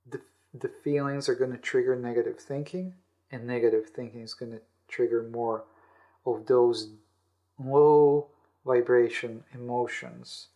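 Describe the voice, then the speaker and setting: A man giving explanatory self-help answers as an interview guest, often repeating words and rephrasing in halting steps. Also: medium pitch, a little mellow. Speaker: interview guest, audiobook author.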